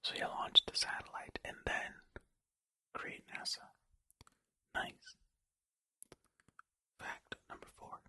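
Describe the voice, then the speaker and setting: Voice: slow pace, long pauses, pushed and bright, no room sound. A host whispering an ASMR reading of facts, with rambling, jokey, self-deprecating asides in a casual way. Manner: whispering throughout. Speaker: host of a video channel.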